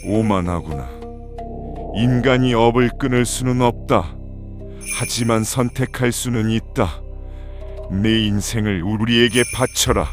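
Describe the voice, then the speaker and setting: Man playing an imperious, low-voiced ancient god. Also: a little slow, over music, lightly acted. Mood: stern, commanding. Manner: imperious, solemn, declarative. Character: ancient, arrogant, commanding male god demanding servitude. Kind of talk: Korean occult audio drama acting.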